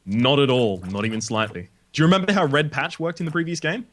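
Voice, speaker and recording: A man's voice: a little high, sing-song, fast, a bit bright. A young Australian man, host of a gaming video, explaining item mechanics in casual chat and swearing now and then. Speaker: let's play host.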